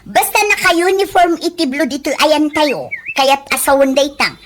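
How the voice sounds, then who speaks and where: A woman's voice: high-pitched, lively intonation. A woman with an Ilocano Filipino accent voicing a boastful, mocking young daughter in a comedy radio drama. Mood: gossiping, scornful. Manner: mocking, giggly, drawn-out. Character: snobbish, boastful young daughter who mocks her cousin.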